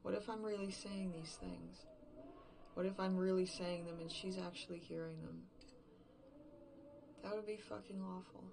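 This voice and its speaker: A woman acting a distraught, unraveling survivor alone in an emptied world, in a halting, self-questioning, profane confessional monologue for a horror fiction podcast. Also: mid-range voice, fairly even intonation, background noise, natural voice. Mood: bleak resignation.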